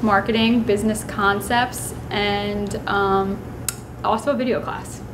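A woman's voice: mid-range voice, fairly even intonation, unhurried. A woman in her twenties with an American accent, a teacher giving informal interview answers. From Pennsylvania, United States. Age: 20s. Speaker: high school business teacher. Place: classroom, seated. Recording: clearly noisy room ambience.

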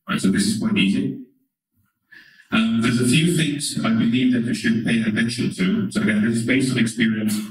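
A man in his thirties with an Estonian accent, giving a conference talk into a handheld mic with wry asides and frequent "right?" checks. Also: mid-range voice. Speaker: conference speaker. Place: large conference hall, indoors. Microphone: handheld microphone through hall speakers. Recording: echoey hall, from far off.

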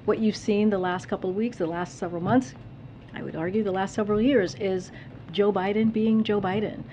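A woman's voice: mid-range voice, fairly even intonation, dark tone, a little hiss or hum, rough recording. Woman press secretary at a podium. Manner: restarts phrases, firm and measured. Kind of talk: press briefing answers. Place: briefing room at a podium. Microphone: podium microphone.

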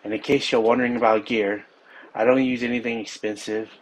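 A man explaining his fishing trip and gear in a relaxed, casual way on a snowy riverbank, recorded on a body camera. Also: medium pitch, brisk, low-quality recording. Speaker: fishing vlogger. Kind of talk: casual outdoor narration.

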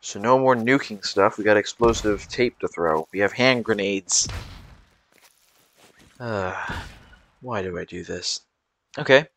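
A man's voice: lowish voice, unhurried, long pauses, fairly bright tone, slight background noise. A young man giving rambling, casual commentary over gameplay, with frequent fillers and restarts. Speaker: gameplay video creator.

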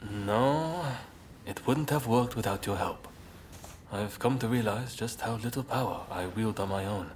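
A man playing an earnest, soft-hearted samurai leader in a video game, speaking in measured, polite, formal phrases. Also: on the low side, a little hiss or hum, natural voice. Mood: humble, reflective. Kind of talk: video game cutscene acting. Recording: game audio with clear background ambience.

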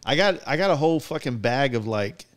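A man's voice: mid-range voice, lively intonation, a little fast. A man of about forty hosting a casual podcast chat, swearing freely and rambling as he interrupts himself, close on a podcast mic. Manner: profane, rambling, self-interrupting. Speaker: podcast host. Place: garage workshop, indoors. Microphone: podcast microphone on boom arm, close.

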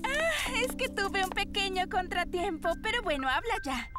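Squeaky, theatrical teen diva. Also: female voice, very animated, normal speed. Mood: breezy. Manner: theatrical, whiny. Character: vain, lazy, dramatic teenage diva model girl. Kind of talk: animated cartoon dub acting. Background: music.

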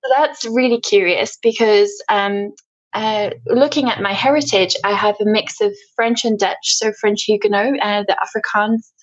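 A woman's voice: medium pitch, moderate pace. A woman in her thirties from South Africa, chatting as a podcast guest with lots of fillers and restarts. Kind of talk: casual interview chat. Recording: slightly dull lo-fi sound.

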